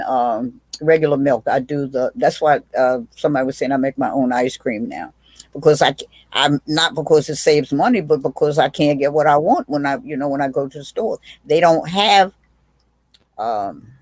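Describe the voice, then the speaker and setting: A woman's voice: deep voice, no echo. Old lady in her 80s, blunt and witty, restarts a lot, dry close sound. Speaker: guest, aunt. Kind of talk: casual video chat.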